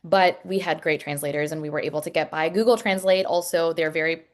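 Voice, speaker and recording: A lower-voiced woman talks frankly about her work as an emergency room nurse. She answers questions over a video call, earnest and unpolished, often pausing on ums and restarting her sentences. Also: fairly even intonation. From Pakistani heritage.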